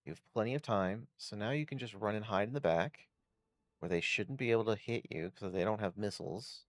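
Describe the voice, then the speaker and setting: A man's voice: fairly low pitch, fairly quick, no echo. A man giving casual video game commentary as a streamer, thinking aloud through tactics in short bursts. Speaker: gameplay streamer.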